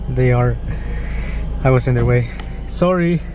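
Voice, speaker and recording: A young man from Guatemala, who grew up speaking Mam, talks through his day as a mobile mechanic in a chatty vlog, halting often with fillers and restarts. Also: medium pitch, warm tone. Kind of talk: casual vlog narration. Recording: outdoor street noise behind.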